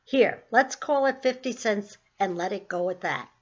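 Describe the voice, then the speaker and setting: A woman's voice: medium pitch, normal speed, lo-fi sound, lightly acted. A woman reading the part of a polite, earnest middle-aged man with a troupe of penguins, in a mild, courteous manner.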